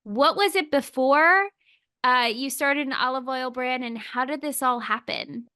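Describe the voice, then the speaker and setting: A woman's voice: sits high, measured pace, bright, forceful tone, smooth. A woman hosting a podcast interview, speaking in an enthusiastic, upbeat way. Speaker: podcast host.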